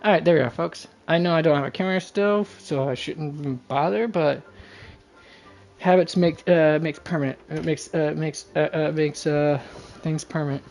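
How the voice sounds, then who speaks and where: Low-voiced woman livestreamer, rambling. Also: a little mellow, soft background music. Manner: rambling, halting, folksy. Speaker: game livestream host. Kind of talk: casual livestream chat.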